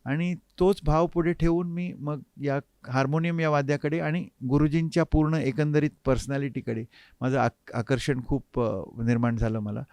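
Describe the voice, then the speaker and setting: A man, reminiscing reflectively as an interview guest and often pausing mid-sentence to find his words. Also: a little high, steady tone, a little mellow, clean tone, no room sound. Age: 50s. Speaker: interview guest, harmonium player. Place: recording studio.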